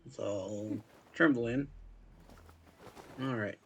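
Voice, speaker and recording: A man's voice: on the low side, very slow, a bit bright. A man reacting casually to a video game, muttering short, laid-back remarks as he streams. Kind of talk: casual, sparse live reactions to gameplay. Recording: game music behind.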